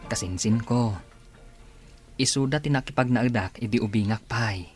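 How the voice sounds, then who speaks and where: Earnest Filipino husband played by an actor, over music. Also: male voice, medium pitch, moderate pace, darkish tone, lightly acted. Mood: hurt, bitter. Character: earnest married man, hardworking, once poor, in radio drama. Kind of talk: Filipino radio drama acting.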